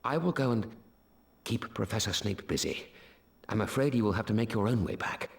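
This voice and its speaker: An actor playing a kindly, earnest wizard professor in a video game, with a measured, instructive manner. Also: male voice, fairly low pitch, expressive, gravelly. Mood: hurried, discreet. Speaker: teacher. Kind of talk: video game cutscene acting.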